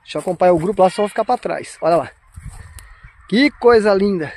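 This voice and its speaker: A man with a southern Brazilian accent and a fairly high voice narrates as he walks between animal enclosures, recording on his phone. He speaks to his viewers with eager, repeated exclamations of awe. Outdoor noise and animal sounds stay loud behind him. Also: lively intonation, quick pace. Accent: Brazilian Portuguese, southern Brazil. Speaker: vlogger filming a zoo visit. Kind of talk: casual handheld narration. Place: outdoor wildlife park with enclosures. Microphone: phone camera held while walking. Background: outdoor ambience and animal sounds.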